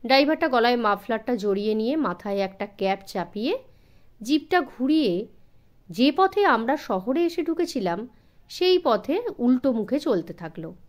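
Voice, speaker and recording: Female audiobook narrator, higher pitch, animated and expressive, measured storytelling for young listeners. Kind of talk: dramatised scripted story narration for children.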